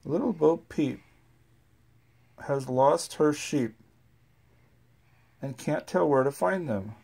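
A parent with a relaxed, sing-song way of reading nursery rhymes aloud and chatting with a small child at home. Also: medium pitch, slow, gravelly, breathy edge.

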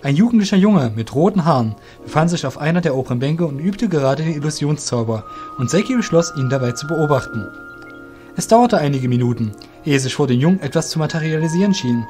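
A man's voice: fairly high pitch, a little mellow. Man narrating a fantasy tale over music. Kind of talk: scripted fantasy audio drama narration.

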